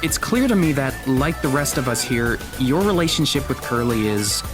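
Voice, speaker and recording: An actor playing a calm, frank, diplomatic teenage boy in an audio drama. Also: mid-range voice, moderate pace, lightly acted. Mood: calm, reproving. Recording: music bed under the voice.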